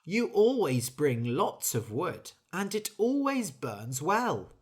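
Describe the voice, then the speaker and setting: A reader voicing a friendly male sausage in a storybook, soothing and flattering his friend. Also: mid-range voice, takes it slowly, breathy edge, pitched above the performer's own voice, heavily acted. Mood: coaxing.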